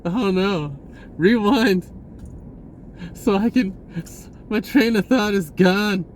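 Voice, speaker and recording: A man with a slightly high voice talks slowly and informally, as in an off-the-cuff spiritual vlog. His delivery is halting and drifts from thought to thought. There is some background noise behind him. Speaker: spiritual vlogger. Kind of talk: casual rambling monologue on spirituality.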